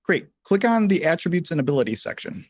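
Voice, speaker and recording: A man hosting a casual live software tutorial, thinking aloud in a halting way as he explains things step by step. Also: a little high, sing-song, slightly rough, no echo. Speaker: livestream host and software instructor.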